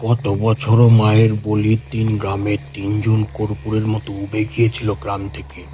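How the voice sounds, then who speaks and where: Man acting anxious rustic tea seller, sharp voice. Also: fairly low pitch, completely flat delivery, close to an everyday voice. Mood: ominous warning. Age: 50s. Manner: deferential, slow ominous warnings. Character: anxious rustic tea-stall keeper over fifty, warning a visitor. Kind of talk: audio horror story acting. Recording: faint noise, night insects behind.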